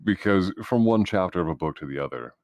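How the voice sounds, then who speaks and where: Extremely deep-voiced man, dry close sound. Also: animated, fast talker, no echo, dull audio. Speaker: player in a tabletop roleplaying game. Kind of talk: reflective table talk.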